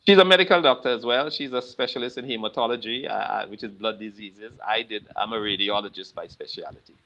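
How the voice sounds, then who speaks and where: An older man from Trinidad and Tobago with a Trinidadian accent, a retired radiologist who talks in a brisk, friendly way. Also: on the low side, a bit bright, highs cut off. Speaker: guest speaker, retired radiologist. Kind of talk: casual conversation.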